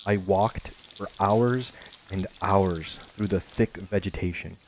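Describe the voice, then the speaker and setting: A man narrating a first-person adventure story in a measured, calm storytelling manner. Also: sits low, slight background noise, low-quality recording. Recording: faint music behind voice.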